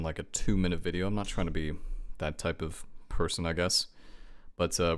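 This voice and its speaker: A man giving casual, rambling reaction commentary as the host of a gaming video. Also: deep voice.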